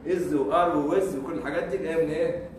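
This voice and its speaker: A man's voice: on the high side, rapid-fire. An Egyptian man with an Egyptian accent giving an informal university lecture, throwing out rhetorical questions, repeating himself and chuckling. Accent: Egyptian Arabic. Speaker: guest lecturer. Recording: echoey room, mic far off, faint noise.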